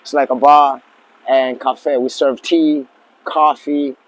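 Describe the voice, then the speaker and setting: A man in his forties from Tanzania, a lodge owner speaking as an interview guest in a relaxed, halting way with many fillers and restarts. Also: mid-range voice, low-quality recording. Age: 40s. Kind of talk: casual interview talk.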